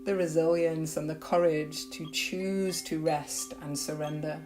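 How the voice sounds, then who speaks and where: A woman yoga nidra teacher leading a guided meditation in a gentle, unhurried, soothing way with long pauses. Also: deep voice, fairly even intonation, music in the background.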